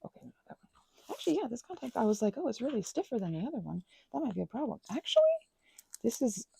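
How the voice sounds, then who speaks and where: A woman murmurs through a meandering ASMR ramble at home, her voice deeply gravelly with fry and noticeably husky. Her tone sits very mellow and dark, and she slips into whispers between stretches of soft talk. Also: very animated, quick pace, no echo. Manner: meandering, filler-heavy, drifting into whispers. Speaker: ASMR creator. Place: indoors at home.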